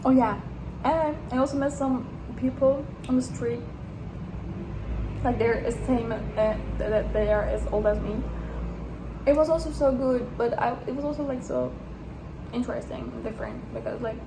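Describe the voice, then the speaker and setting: A woman's voice: fairly high pitch, lively intonation, normal speed, background noise. A young woman from Turkey, a non-native English speaker, talking casually to camera in a rambling, hesitant way with many restarts. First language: Turkish. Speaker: vlogger. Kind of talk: casual personal vlog.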